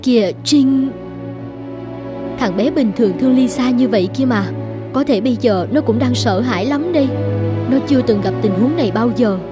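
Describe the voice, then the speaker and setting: A woman's voice: a little high, moderate pace, over music, natural voice. A woman voicing a kindly, compassionate orphanage carer in a cartoon, with a sympathetic, worried manner. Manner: sympathetic, caring. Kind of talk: animated children's story dubbing.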